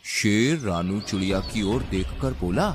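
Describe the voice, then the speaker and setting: A man's voice: on the low side, expressive, measured pace, faint music behind. A man narrating a scripted animal fable as a storyteller for a cartoon.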